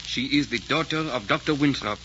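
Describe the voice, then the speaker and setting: An eager French servant played by a man in an old radio play, fawning and gushing in a medium-pitched voice. The recording is dull and clearly hissy. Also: brisk, bright, forceful tone, close to an everyday voice. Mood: admiring. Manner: gushing, deferential. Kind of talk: 1940s radio drama acting.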